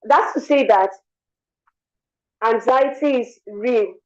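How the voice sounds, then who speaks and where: A young woman with a worn, hoarse voice, giving an earnest motivational talk over an online call. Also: on the high side, takes it slowly, dull audio. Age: young adult. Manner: earnest, repeats words while speaking. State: lost her voice. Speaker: guest speaker.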